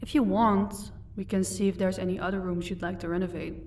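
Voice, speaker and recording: A woman's voice: medium pitch, steady tone, a little fast, darkish tone, recorded from a distance, a little hiss or hum, natural voice. A woman playing a composed, haughty vampire wife in an audio roleplay. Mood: calm, affectionate. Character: commanding female vampire, protective wife, cold to captives.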